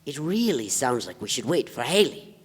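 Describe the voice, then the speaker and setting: An actress playing a pompous, whimsical sleuth with florid speech in a live play reading. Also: female voice, extremely low pitch, big pitch swings, moderate pace, slightly rough, full character voice. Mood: grandly whimsical. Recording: echoey theatre hall, live stage.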